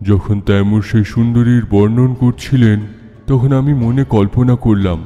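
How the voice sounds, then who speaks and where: Guy doing a grieving ghost dad, really dark muffled tone, big reverb, music under it, slow sad story. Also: medium pitch, fairly even intonation, natural voice. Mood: solemn recounting. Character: ghost of a grieving sculptor father. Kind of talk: dramatic Bengali horror-tale narration. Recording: long reverb, music behind.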